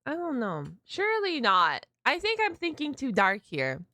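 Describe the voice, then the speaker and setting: Animated, bright-voiced woman reacting casually. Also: high voice, moderate pace, no room sound. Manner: hesitant, thinking aloud with frequent "like". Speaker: reaction video host.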